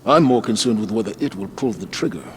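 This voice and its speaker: An actor playing a grave, stern male military commander who speaks in a measured, authoritative way. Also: lowish voice, animated, a little hiss or hum, natural voice.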